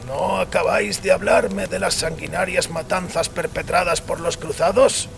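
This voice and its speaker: A man's voice: sits high, fairly even intonation, normal speed, gravelly, fairly bright tone, slight background noise, pitched above the performer's own voice, heavily acted. A man voicing an anguished, defiant priest in dramatized audio fiction, accusing and impassioned in formal phrasing.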